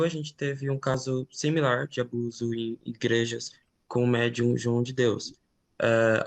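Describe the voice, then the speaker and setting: A Brazilian reporter asking a question at a press conference, hesitant with many fillers, Brazilian Portuguese accent. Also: male voice, medium pitch, vocal fry. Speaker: foreign reporter.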